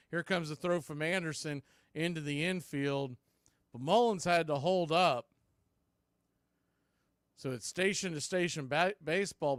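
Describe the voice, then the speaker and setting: A man's voice: on the high side, fairly bright tone, dry recording, clean studio sound. A man giving live baseball play-by-play commentary as an announcer, in clipped phrases that swell with the action. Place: indoors, calling the game from a video feed.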